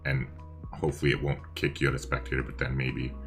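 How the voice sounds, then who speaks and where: Male stream co-host with a very deep voice, easygoing and casual, faint music behind. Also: completely flat delivery, fast, fairly bright tone. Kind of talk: casual chat during a multiplayer game stream.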